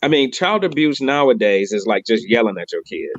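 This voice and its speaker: A man's voice: mid-range voice, big pitch swings, fairly quick, a crowd in the background. A man in casual slangy banter, recorded with a slightly dull sound. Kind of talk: casual chat.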